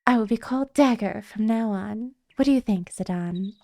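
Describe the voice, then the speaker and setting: Young game princess, acted by a woman: polite, earnest, resolute, measured delivery, close dry recording. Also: on the high side, warm tone, dry recording, natural voice. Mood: resolved.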